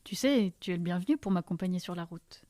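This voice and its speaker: A woman's voice: on the low side, animated, fast, dark tone, dry recording, lightly acted. A woman playing an enthusiastic nomadic seed-bearer, chatty and full of marvel, in an audio drama. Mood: enthusiastic, marvelling. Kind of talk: fiction podcast dialogue.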